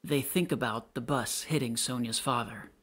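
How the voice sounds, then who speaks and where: A man's voice: mid-range voice, normal speed, fairly bright tone. A man giving scripted narration, reading out stage directions.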